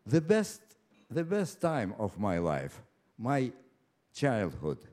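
A man of ninety-nine from Ukraine with a Russian accent, telling his own story live in a halting, deliberate way with repeated words and pauses. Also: on the low side, huge pitch swings, a little mellow. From Ukraine, later Moscow. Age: 99, nearly one hundred. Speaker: personal storyteller. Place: live stage before an audience.

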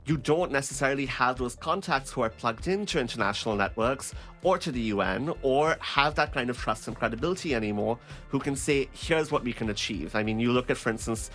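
A man's voice: mid-range voice, lively intonation, brisk, fairly bright tone, faint music behind. A man speaking as a journalist on a panel, explaining things analytically and often checking in with tag questions. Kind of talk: analytical spoken commentary.

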